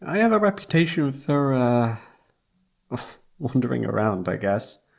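A young man playing a lovesick, self-blaming best friend in a halting, emotional, intimate romantic audio roleplay, with a husky voice. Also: medium pitch, sing-song. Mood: flustered.

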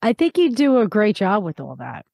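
A woman acts as podcast host, talking casually with her guest. Her voice sits at a medium pitch. The sound is dry, with very little room around it. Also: huge pitch swings, normal speed, vocal fry, mellow, dry recording. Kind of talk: casual conversational interviewing.

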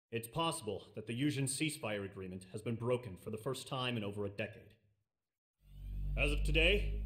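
An actor playing a stern, commanding air force officer giving a formal, authoritative briefing in a video game. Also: male voice, fairly high pitch, a bit bright.

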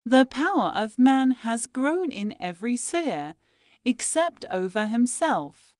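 Slow, lively-pitched woman reading quotes. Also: dry recording, clean studio sound. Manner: measured, deliberate reading with pauses between phrases. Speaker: quote reader.

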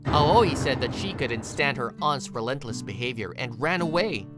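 A woman's voice: a bass voice, lively intonation, normal speed. A woman reading scripted story narration. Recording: music behind the voice. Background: plucked guitar music.

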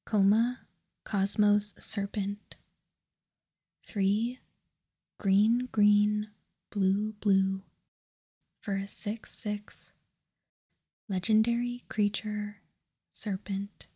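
A woman speaks in a very breathy ASMR whisper, close to the microphone. Her delivery is slow and deliberate, with little rise or fall and a soft, mellow tone. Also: mid-range voice, little pitch movement. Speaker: host of a trading card ASMR channel. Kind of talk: whispered ASMR, reading out and commenting on cards.

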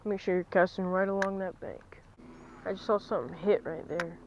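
A young man, vlogging as he fishes from a lake bank, chatty and excitable, dropping to a near-whisper at times. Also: high voice, moderate pace, muffled recording, noisy background. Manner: chatty, excitable, drops to near-whisper while stalking fish. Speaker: fishing vlogger. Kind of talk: casual vlog narration. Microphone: chest-mounted action camera.

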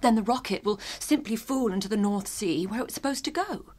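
A woman's voice: mid-range voice, expressive, fairly quick, darkish tone, natural voice. An actress playing a spirited, anxious female agent in a radio drama, urgent in manner. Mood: urgent hope.

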